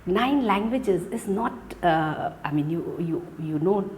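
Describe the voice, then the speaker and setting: A woman hosting an interview. She asks questions in a gentle, appreciative way. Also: fairly low pitch, animated, slow, slight background noise. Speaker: host.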